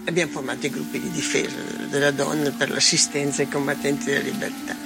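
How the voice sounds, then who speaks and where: A woman's voice: low voice, flat delivery, quick pace. An older woman recalling the past in spontaneous documentary testimony. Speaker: former partisan giving testimony. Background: loud music.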